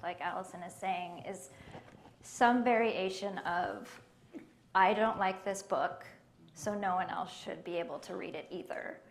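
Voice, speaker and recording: A woman with a quiet voice, speaking on a panel at a library event in a measured way, with frequent ums. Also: medium pitch, a little hiss or hum. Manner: soft-spoken and measured, with many ums. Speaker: library collection manager on a panel. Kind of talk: panel discussion answers.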